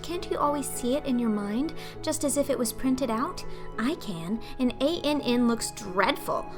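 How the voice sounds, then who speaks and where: A woman voicing a talkative, imaginative eleven-year-old orphan girl in an audiobook, theatrical and earnest in her pleading. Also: high voice. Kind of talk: audiobook character acting.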